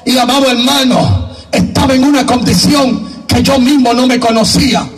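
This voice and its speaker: A man with a Caribbean Spanish accent preaching fervently, with shouted, drawn-out emphatic phrases and repeated refrains. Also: very high-pitched, recorded from a distance, faint music behind. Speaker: evangelical preacher giving testimony. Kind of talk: fervent Pentecostal sermon with refrains.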